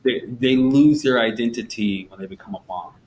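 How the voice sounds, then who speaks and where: A man hosting an interview, asking questions in an animated way with frequent affirmations. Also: mid-range voice, moderate pace, muffled recording. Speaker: interview host. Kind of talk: casual interview conversation.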